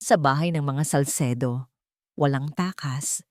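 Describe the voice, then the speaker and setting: A woman reading a scripted dramatic story as its narrator, in a measured, solemn storytelling manner. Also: very deep voice, darkish tone, dry recording, clean studio sound.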